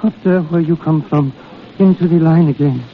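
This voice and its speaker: Man acting a pleading Italian soldier, high-pitched, tinny. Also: natural voice. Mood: pained. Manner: halting, pleading broken English. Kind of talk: old-time radio drama acting. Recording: tinny, noisy old radio broadcast.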